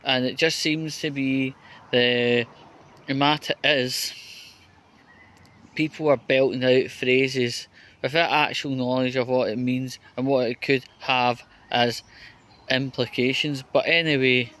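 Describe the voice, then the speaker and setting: A man's voice: mid-range voice, fairly even intonation, slow pace, a bit bright. A Scottish man from Scotland talking casually to camera in a rambling way, with fillers and restarts, as he walks through a train station and into a lift. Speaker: vlogger. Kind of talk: casual walking monologue.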